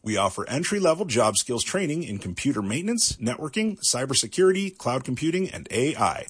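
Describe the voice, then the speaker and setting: A man's voice: medium pitch, very animated, fairly quick, slightly rough, no room sound, studio quality. A man announcing a scripted radio promo as an advert voice-over.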